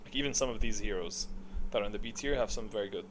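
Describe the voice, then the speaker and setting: A man giving casual, unscripted commentary on a video game, opinionated and thinking out loud as he ranks things. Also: on the low side, fast talker, background noise.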